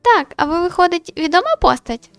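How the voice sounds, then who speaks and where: Naive, excitable young female neighbour for a visual novel game, very high voice played by a woman. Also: a little fast, no room sound, faint music behind, exaggerated voice. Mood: curious, impressed. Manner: excitable, gushing.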